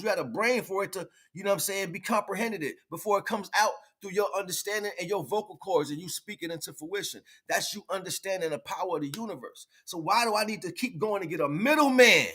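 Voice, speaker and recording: A man's voice: high-pitched, fairly bright tone, breathy edge. A man giving an improvised spiritual, motivational livestream talk in an emphatic, preachy way, repeating phrases for effect.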